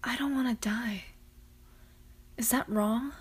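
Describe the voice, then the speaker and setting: Woman playing a husky, hushed teenage girl. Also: a little high, fairly even intonation, slow pace, full character voice. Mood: frightened, hushed. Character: anxious, soft-hearted teenage girl. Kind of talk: amateur audio drama acting.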